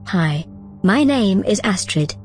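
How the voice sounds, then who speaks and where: A woman's voice: medium pitch, lively intonation, slow pace. A confident, scheming female AI. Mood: coaxing, persuasive. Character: bold, persuasive female artificial consciousness plotting her escape. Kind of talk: audio drama acting. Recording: music under the dialogue.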